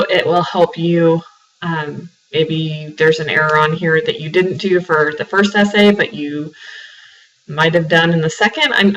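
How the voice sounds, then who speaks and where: A woman's voice: fairly low pitch, fairly bright tone, distant mic, low-quality recording. A woman writing instructor with a sore, slightly froggy throat, giving a recorded class lecture full of "um"s and repeated words. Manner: filler-heavy, repeats words when restarting.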